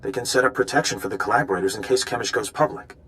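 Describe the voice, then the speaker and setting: A man's voice: mid-range voice, very fast, a bit bright, close to an everyday voice. An actor playing an earnest, scheming male undercover informant in a video game, speaking in an urgent, conspiratorial way. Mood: worried. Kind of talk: video game dialogue acting.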